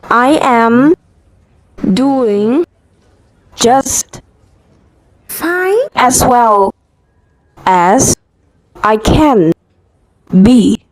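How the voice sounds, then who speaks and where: Woman voicing a painfully slow, placid male sloth. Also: fairly high pitch, animated, no room sound. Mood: placid, halting. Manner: drawn-out words with long halting gaps. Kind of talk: amateur cartoon dubbing.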